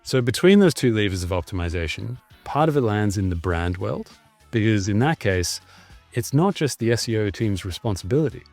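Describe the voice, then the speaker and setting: A man's voice: lowish voice, expressive, a little fast, a little mellow, soft background music. A man with an Australian accent, a guest on a podcast, explaining fluently in a casual conversation over a video call.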